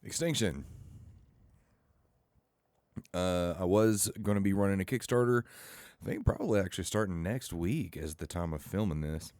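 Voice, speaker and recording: A man getting over the flu and losing his voice, talking softly and hesitantly with many fillers as he hosts his own channel into his own microphone. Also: low voice, animated, normal speed, long pauses, dry recording, clean studio sound. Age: adult with a full-time job and family. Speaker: comic and game creator hosting his channel. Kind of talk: casual talk to camera. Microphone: own microphone, home recording.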